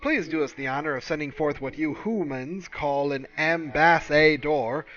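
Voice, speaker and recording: A man playing a loud, opinionated talk-radio host, ranting with punchy, indignant emphasis in bombastic DJ patter. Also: fairly high pitch, moderate pace, dull audio, natural voice. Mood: mocking.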